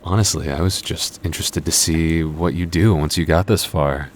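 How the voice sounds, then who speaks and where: Very deep, smug male vampire played by a man. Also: steady tone, moderate pace, slight background noise. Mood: flirty, teasing. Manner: smug, flirty, dry teasing with sighs and chuckles. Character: cocky, teasing, moody male vampire convenience-store coworker. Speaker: voice actor in audio roleplay. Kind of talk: intimate ASMR roleplay acting.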